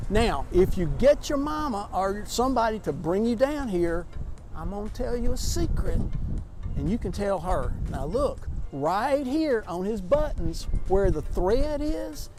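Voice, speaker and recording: A man's voice: high voice, a little mellow. A sculptor talks children through his outdoor sculptures in a folksy, chatty way, full of restarts and fillers. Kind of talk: outdoor walking tour talk to children.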